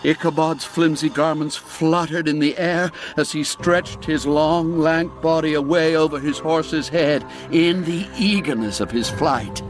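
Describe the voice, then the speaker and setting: A man narrating a classic ghost story as a dramatic reading, measured and full of deliberate pauses. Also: a little high, soft background music.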